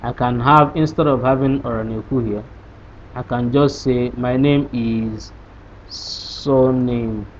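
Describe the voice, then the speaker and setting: A man explains code step by step in a screen-recorded tutorial, stopping to ask rhetorical questions before he answers them. His voice sits at an average pitch. The recording sounds dull and lo-fi, with a faint hiss underneath. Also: fairly even intonation, slow pace. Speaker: programming tutor. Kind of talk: screen-recorded coding lesson.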